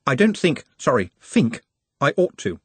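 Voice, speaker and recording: A timid young goblin from a fantasy storybook, acted by a male narrator. He is anxious to please and very polite, with a hesitant, lifted voice that jumps about in pitch. The sound is clean and dry. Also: sing-song, measured pace, breathy edge, no room sound. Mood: flustered, apologetic. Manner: nervous, hesitant, overly polite.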